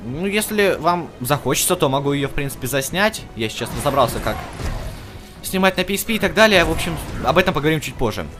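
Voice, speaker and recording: A man's voice: on the high side, expressive, fast talker. A man giving casual, chatty gameplay commentary, thinking aloud and talking to the characters on screen as he plays. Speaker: video game let's-play host. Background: video game music.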